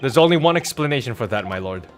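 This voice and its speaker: A man voicing an earnest defence lawyer from a game, reading the dialogue in a formal, measured, theatrical way. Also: mid-range voice, expressive, close to an everyday voice. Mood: confident, explaining. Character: earnest male defence lawyer, methodical and accusing. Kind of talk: dramatic read-aloud of game dialogue. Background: game music.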